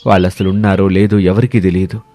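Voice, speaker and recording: A man's voice: sits low, flat delivery, fast, dark tone. A man acting cautious village friend, timid, doubting, rustic, storybook dialogue, light music behind. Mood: worried, doubting.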